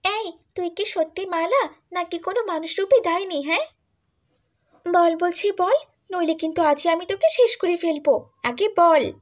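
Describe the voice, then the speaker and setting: A woman's voice: very high-pitched, measured pace. A woman playing an arrogant, jealous, spoiled young stepsister in a cartoon fairy tale, haughty and rude. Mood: threatening.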